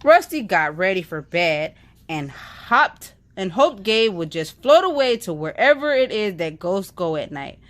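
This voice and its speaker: A woman's voice: medium pitch, sing-song, a little slow. A woman reading a children's story aloud in a storytelling style. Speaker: reader of a children's book.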